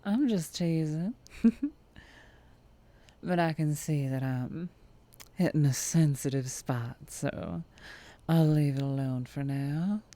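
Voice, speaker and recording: Slow-drawling Southern vampire trucker woman, acted by a woman. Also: low voice, mellow. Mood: flirty, teasing. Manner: slow teasing drawl with chuckles and pet names. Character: tall, muscular Southern vampire trucker woman, teasing and predatory. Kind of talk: ASMR roleplay, flirtatious character acting.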